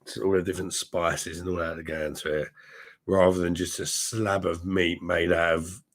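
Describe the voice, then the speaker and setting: Man, very deep voice, hoarse, unwell, crude rambling stream chat. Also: little pitch movement, normal speed. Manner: rambling, crude jokes, swearing. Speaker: livestream host reading chat. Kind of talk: casual crude drinking chat.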